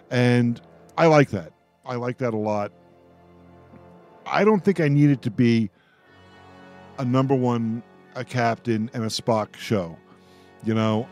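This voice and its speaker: A man's voice: medium pitch, darkish tone. Man chatting casually on a radio roundtable. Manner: rambling, halting, often repeats words. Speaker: radio host of a roundtable discussion. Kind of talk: casual fan discussion.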